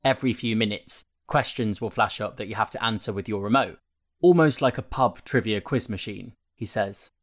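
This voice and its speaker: A man narrates a long written feature in a fairly low voice. He reads from a script as a narrator, moving through prose and quoted remarks. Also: normal speed. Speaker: narrator reading a newspaper feature. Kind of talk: scripted narration of a print interview, with quotes read out.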